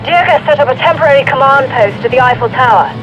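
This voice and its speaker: A man playing a wartime intelligence contact in a video game cutscene, giving measured, wry briefings. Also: very high-pitched, animated, lo-fi sound, music in the background, a crowd in the background. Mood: disdainful, briefing.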